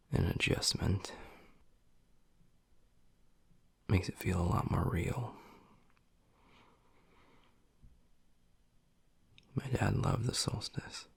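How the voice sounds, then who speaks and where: Casting: male actor as gruff young werewolf boyfriend for intimate audio roleplay, low-pitched, heavily fried voice, tender and breathy. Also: no echo, exaggerated voice. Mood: wistful, tender. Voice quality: husky, gravelly, breathy. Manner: low, halting, half-whispered delivery.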